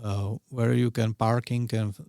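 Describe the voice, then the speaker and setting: Slow, gravelly Czech-accented man. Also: lowish voice, level tone, mellow, no echo, studio quality. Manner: hesitant, many fillers and broken phrasing. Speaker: podcast guest. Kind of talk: casual interview chat.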